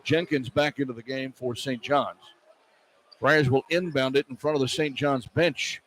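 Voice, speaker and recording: A man's voice: medium pitch, fairly even intonation, normal speed, a bit bright, poor audio. An American man calling live basketball play-by-play on radio in clipped phrases. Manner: clipped phrases tracking each pass and shot. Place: courtside at an indoor arena. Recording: arena noise behind broadcast commentary. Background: arena ambience, basketball bounce.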